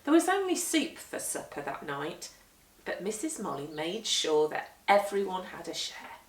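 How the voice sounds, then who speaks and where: Animated woman storyteller in an echoey room. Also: lowish voice. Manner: deliberate, animated, emphatic storytelling. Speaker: storyteller reading aloud to children. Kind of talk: expressive children's picture-book read-aloud. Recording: roomy echo, distant microphone.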